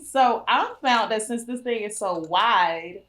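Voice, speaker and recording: A woman's voice: on the high side, expressive, takes it slowly, a crowd in the background. A woman hosting a podcast, chatting casually, laughing often and addressing listeners directly. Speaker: podcast host. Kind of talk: casual chat.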